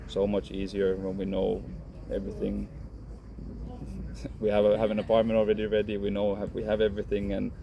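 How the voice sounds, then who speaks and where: A man in his thirties from Finland, answering interview questions about his career in a calm, frank way with many restarts. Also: on the low side, brisk, creaky, muffled recording. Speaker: professional footballer. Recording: noisy background.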